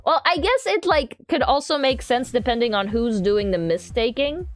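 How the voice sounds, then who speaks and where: Female livestreamer, casual and playful, lively swooping pitch, rambling and repetitive delivery over soft background music. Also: normal speed. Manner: playful, rambling, repeats herself. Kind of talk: casual chat with viewers while doing crafts on stream.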